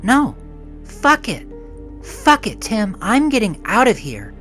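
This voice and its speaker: A man's voice: high voice, huge pitch swings, slow, soft background music, voice pushed up, exaggerated voice. Man voicing a bitter, sarcastic young woman. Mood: enraged. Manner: sarcastic, profane, shouting when cornered. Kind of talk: dramatic audio story acting.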